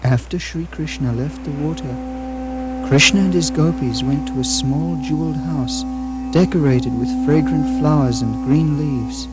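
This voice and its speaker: An actor playing an ecstatic saintly lord in a puppet show, speaking in a dreamy, wistful, measured way over music. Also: male voice, a little high, natural voice. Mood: dreamy, joyful. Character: ecstatic saintly lord waking from a mystical vision.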